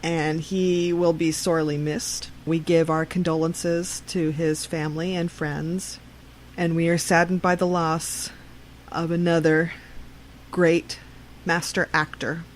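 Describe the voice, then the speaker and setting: A woman radio host reading an obituary in an informal news style, measured, with emphatic repetition of words. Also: sits low, flat delivery, a little hiss or hum.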